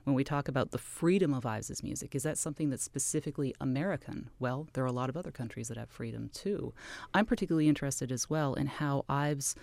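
A woman's voice: very deep voice, quick pace, creaky, darkish tone, no room sound. A woman from Canada, an author and music scholar, answering questions as a radio interview guest in a thoughtful, explanatory way.

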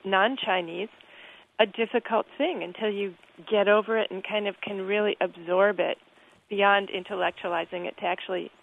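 A woman being interviewed about her book, talking thoughtfully with frequent pauses and restarts. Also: mid-range voice, steady tone, gravelly. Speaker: guest author. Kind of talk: interview conversation. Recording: tinny phone-like line.

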